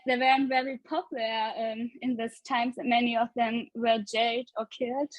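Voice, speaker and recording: A woman with a fairly high voice explains things informally to a group. She is on a video call, and her sound is dry, with little room in it. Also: level tone, normal speed, no room sound, poor audio. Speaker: club member giving a presentation.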